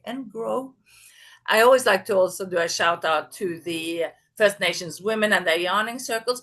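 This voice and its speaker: A woman in her fifties leads an online masterclass over a video call. Her voice sits fairly low, and her delivery is upbeat and chatty. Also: a bit bright. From Norway. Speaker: masterclass presenter. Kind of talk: online workshop talk.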